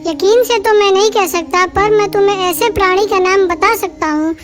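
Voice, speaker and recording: A woman's voice: extremely high pitch, normal speed, over loud music. A woman voicing a small, eager male rabbit who used to work as a spy and wants to help, in a cartoon story. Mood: earnest. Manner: eager, helpful. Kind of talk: cartoon voice acting.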